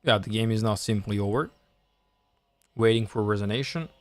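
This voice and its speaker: A man's voice: fairly low pitch, moderate pace, dry recording. A man from Serbia with a Serbian accent, thinking aloud and explaining step by step as he coaches on a live chess stream.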